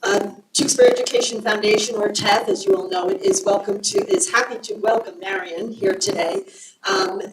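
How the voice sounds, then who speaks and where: A woman from a local foundation giving a short, slightly halting introduction in a school hall. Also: mid-range voice. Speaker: foundation representative. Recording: echoey hall from far off, noisy.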